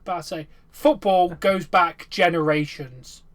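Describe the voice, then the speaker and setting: A man hosting a podcast, making his points in a calm, matter-of-fact way. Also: high voice, a little slow. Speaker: podcast host. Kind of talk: casual chat.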